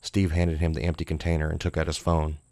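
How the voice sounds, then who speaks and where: Man, very low pitch, creaky vocal fry, scripted horror audiobook narration. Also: monotone, quick pace, a little mellow, no room sound, studio quality.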